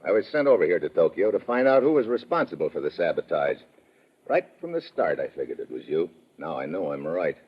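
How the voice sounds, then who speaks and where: A man playing a tough, wisecracking undercover investigator in 1950s radio drama, husky, blunt and sardonic, on a dull old radio recording. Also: deep voice, big pitch swings, a little fast, heavily acted. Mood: hard-boiled accusation. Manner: hard-boiled, sardonic, blunt.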